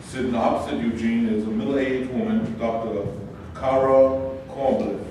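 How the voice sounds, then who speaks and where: A man's voice: medium pitch, steady tone, unhurried, close to an everyday voice. A man reading scripted stage directions plainly at a staged play reading. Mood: neutral narration. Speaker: stage-direction reader and prison guard. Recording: very echoey room, distant, noisy.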